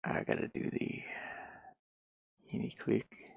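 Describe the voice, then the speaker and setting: A man's voice: takes it slowly, no echo. A man casually thinking aloud as he works on a game, muttering to himself in short fragments. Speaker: hobby game developer sharing a work session. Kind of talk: casual think-aloud screen commentary while working.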